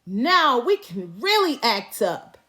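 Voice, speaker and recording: A woman reading a children's storybook, voicing excitable, mischievous young schoolchildren in an eager, exclaiming way. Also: fairly high pitch, slow, heavily acted. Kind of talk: children's storybook read-aloud.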